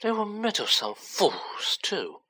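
A narrator playing a cold, haughty gentleman villain in a storybook. He drawls with menace and contempt, slowly, his pitch swinging widely. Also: huge pitch swings, exaggerated voice. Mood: quiet menace.

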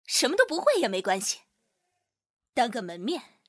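A brash female shop boss played by a woman in a radio drama. Her high voice is forceful and animated, with a bossy, sharp-tongued, short-tempered delivery. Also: normal speed, dry recording, clean studio sound, full character voice. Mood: flirty.

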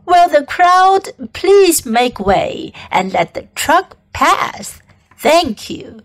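A woman plays a grand, self-important fair announcer from a storybook. The delivery is slow and proclamatory, pitched high, with showy swings on the formal phrases. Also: big pitch swings, heavily acted. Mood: pompous, proclaiming. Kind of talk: storybook character voice.

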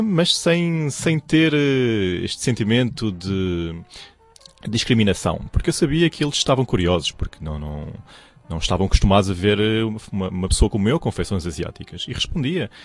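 A man's voice: on the low side, no room sound. A young man with a European Portuguese accent, telling his story in a radio interview, hesitant and restarting often. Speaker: radio presenter, guest.

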